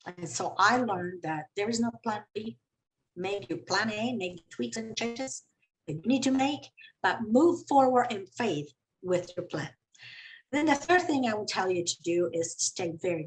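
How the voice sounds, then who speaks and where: A woman's voice: animated, normal speed, low-quality recording. A woman from Colombia, speaking as a CEO on a business panel in an earnest, emphatic way. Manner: earnest, emphatic, with dramatic swings in pitch and loudness. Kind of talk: panel discussion talk.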